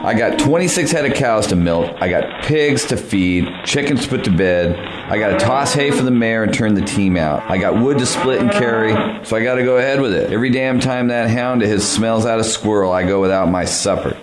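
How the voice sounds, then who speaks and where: A man with an American accent playing a gruff, hot-tempered, overworked farmer in a radio play, with folksy rural speech. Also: on the low side, brisk, crowd noise, natural voice, rough recording. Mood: irritated, grumbling.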